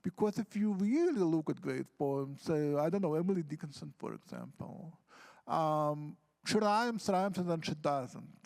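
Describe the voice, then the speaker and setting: Gravelly man, fairly high voice. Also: animated, measured pace, a little mellow, poor audio. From Ukraine. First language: Russian. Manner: earnest, explains with examples and questions to himself. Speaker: poet on a panel. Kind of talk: reflective talk on poetry craft. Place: conference hall stage.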